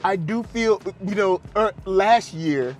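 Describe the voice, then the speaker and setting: A man chatting casually and excitably as a host giving an unscripted film reaction, playful and fond of repeating phrases for emphasis. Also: high-pitched, slow pace, soft background music. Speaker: film reviewer and channel host.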